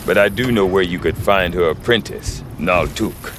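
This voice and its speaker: An actor playing a folksy, wry middle-aged trader in a video game, chatty and given to colloquial sales patter and hesitations. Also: male voice, low voice, normal speed, noisy background, natural voice. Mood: wry, confiding. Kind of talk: video game character acting.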